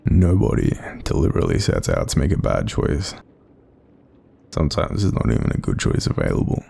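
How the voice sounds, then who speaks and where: An Australian man playing a kind sleep paralysis demon in a roleplay audio, speaking gently and informally with a gravelly, breathy voice to soothe and reassure. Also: extremely low pitch, exaggerated voice. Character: kind, supportive male sleep paralysis demon watching over someone.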